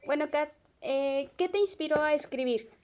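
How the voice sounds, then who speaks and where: A woman's voice: sits high, normal speed, darkish tone. A woman hosting an interview, introducing and putting prepared questions to a guest. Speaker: interview host.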